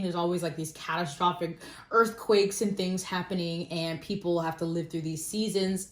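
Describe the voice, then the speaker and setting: A woman giving a casual, self-deprecating book review in an informal, conversational way. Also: lowish voice. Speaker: book vlogger. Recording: roomy echo.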